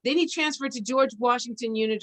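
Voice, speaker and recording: A woman hosting a conversational interview, chatty and halting as she searches for her words. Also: fairly high pitch. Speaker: interview host. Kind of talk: conversational interview with an informal guest introduction.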